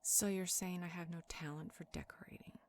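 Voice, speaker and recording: A woman playing a sharp-tongued, exasperated vampire healer in a roleplay audio drama, cutting and incredulous. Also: lowish voice, very animated, a little fast, a little hiss or hum. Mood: offended, scolding.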